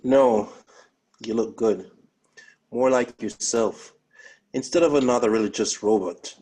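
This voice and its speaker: A man playing a bitter, guilt-ridden widowed police officer with a husky voice, in a dramatic script read-through. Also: mid-range voice, a little slow, warm tone, rough recording. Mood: reassuring.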